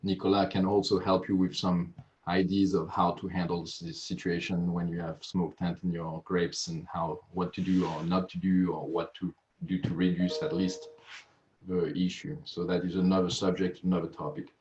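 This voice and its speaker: Deep-voiced man hosting a video webinar. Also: little pitch movement, a little mellow, a little hiss or hum. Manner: halting, many filler pauses. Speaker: webinar host. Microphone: video call. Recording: muffled video call audio.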